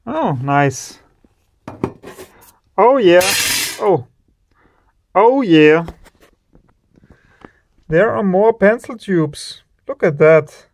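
A man hosting an electronics teardown video, slowly and admiringly commenting on the parts he finds. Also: sits high, animated, a little mellow. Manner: slow, admiring, savoring each part.

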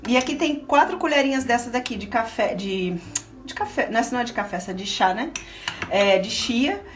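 A woman's voice: a little high, animated, a little fast, background noise. A middle-aged woman, chatting patiently through a home cooking demo with a child and explaining steps to viewers. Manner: chatty, patient, coaxing and encouraging. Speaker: cooking show host and aunt. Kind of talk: casual cooking demonstration with a child. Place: small home kitchen, indoors. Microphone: camera held by a helper a few feet away. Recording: roomy kitchen, distant camera, music behind.

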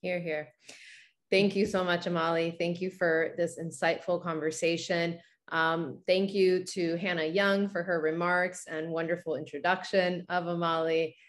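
A woman's voice: on the low side, level tone, measured pace. A middle-aged woman hosting a formal online event as moderator, speaking over a video call. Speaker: host, moderator. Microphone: video call computer mic.

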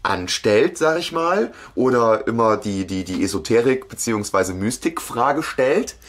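A man's voice: medium pitch, lively intonation, measured pace, a bit bright. A man co-hosting a podcast, chatting casually as he discusses a story. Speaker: podcast co-host. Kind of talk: casual banter about an audio drama series.

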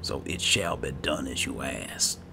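A man's voice: extremely low pitch, expressive, a little slow, music in the background. A man giving casual roleplay commentary on a video game, muttering to himself in short bursts. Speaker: gamer, video host. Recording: clearly noisy, game sound behind.

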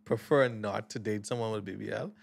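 A man's voice: on the low side, fairly even intonation, a little fast, vocal fry, slightly rough. A young man co-hosting a podcast in a small studio, teasing and crude in casual chat. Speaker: podcast co-host.

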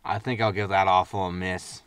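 A man's voice: low-pitched, little pitch movement, normal speed, fairly bright tone, distant mic, a little hiss or hum. An actor playing a grumpy, sarcastic male spaceship captain in a sci-fi comedy audio drama, with a dry manner. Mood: resigned, dry. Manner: dry, sardonic.

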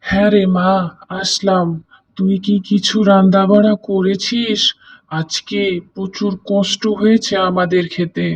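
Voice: medium pitch, little pitch movement, measured pace, close to an everyday voice. An actor playing a poor, worried farmer father in a cartoon, speaking in a weary, fretful way. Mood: tired. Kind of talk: cartoon voice acting.

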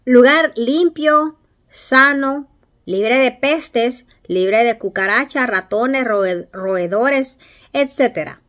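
A woman in her forties giving slow, earnest commentary to camera from a small room, pausing often with fillers, recorded on a phone at arm's length. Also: on the high side, animated, fairly bright tone. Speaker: vlogger commenting on a news clip.

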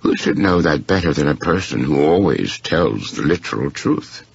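A man voicing a courteous, shrewd, unflappable gentleman in a story, speaking formally and with deliberate precision. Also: extremely low pitch, animated, gravelly, slightly rough, dry recording, lo-fi sound, exaggerated voice. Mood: gently pointed.

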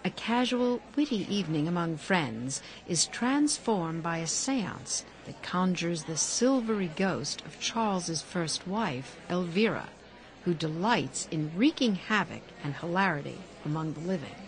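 A woman's voice: fairly low pitch, animated, unhurried, a little hiss or hum, low-quality recording. A woman announcer reading a scripted introduction to a play.

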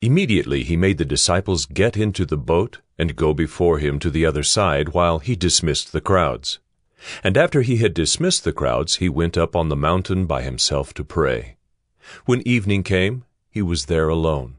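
A man's voice: deep voice, lively intonation, moderate pace, no echo, studio quality. A man giving a dramatic scripted scripture narration. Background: music.

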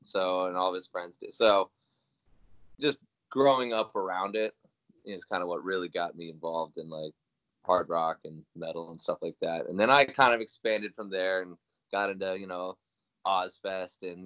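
A man's voice: low voice, fairly even intonation, moderate pace, a bit bright, clear, resonant tone, dry recording, lo-fi sound. A young man from Iowa, talking casually and rambling with many fillers and restarts on a video call from a small room at home. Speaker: guest musician. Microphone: video call webcam.

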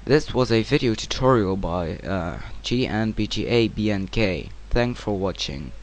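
A man narrating a casual software tutorial in hesitant, informal, non-native-sounding English. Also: fairly low pitch, measured pace. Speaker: tutorial presenter. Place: home computer screen-recording voice-over. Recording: clear steady background noise.

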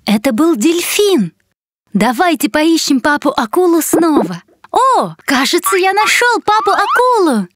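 A woman's voice: extremely high pitch, sing-song, measured pace, fairly bright tone, no room sound, clean studio sound, full character voice. A woman voicing a bubbly, curious little fox hero in a children's cartoon. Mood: curious, excited. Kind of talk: children's cartoon narration and dialogue. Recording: music behind the voice.

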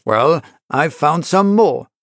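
A mysterious, irritable male stranger from a storybook, as a man narrator plays him. He speaks with commanding, deliberate emphasis and dramatic menace, in a creaky voice recorded close and dry. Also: on the high side, expressive, no room sound. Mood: irritated.

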